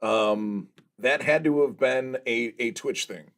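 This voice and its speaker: A man's voice: medium pitch, slow pace, a bit bright. A man hosting a casual livestream, joking along and reading out viewers' chat messages. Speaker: livestream host.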